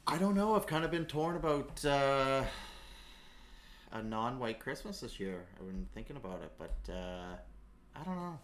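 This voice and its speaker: A man in his forties who is raspy today, hosting a casual podcast while drawing in his home studio, giving dry, understated answers. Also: mid-range voice, sing-song, normal speed. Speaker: artist and podcast host. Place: home art studio, indoors.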